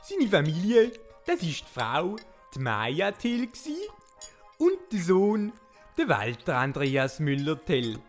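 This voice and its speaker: Female narrator, lowish voice, very lively melody, Swiss German accent, storybook style, comic radio play. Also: slow, faint music behind.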